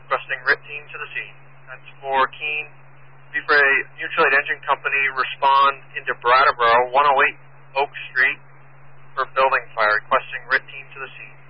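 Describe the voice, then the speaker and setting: A man's voice: medium pitch, level tone, normal speed. A man making fire dispatch announcements over two-way radio. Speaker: fire mutual aid dispatcher. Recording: noisy, muffled radio dispatch with hiss.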